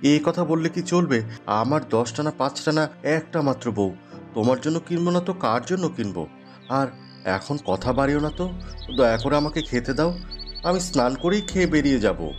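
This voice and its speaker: A man voicing a devoted, good-hearted village woodcutter in an expressive fairy tale cartoon style. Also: on the high side, moderate pace, soft background music, natural voice. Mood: affectionate. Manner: earnest, polite, expressive.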